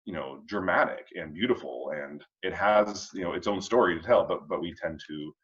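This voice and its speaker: A man hosting a casual discussion of readings, reflecting in a halting, rambling way and often checking in with the listener. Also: low-pitched, muffled recording. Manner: halting and rambling, checking in with "you know" and "huh". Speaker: host of a family read-along discussion.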